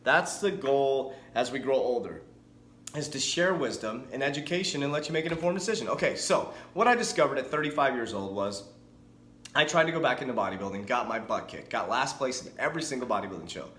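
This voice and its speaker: A man's voice: medium pitch, brisk, reverberant, slight background noise. A man in his forties, a fitness coach, talking energetically and chattily to camera with frequent restarts. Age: 40s. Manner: energetic, chatty, self-correcting. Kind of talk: casual talking-head vlog.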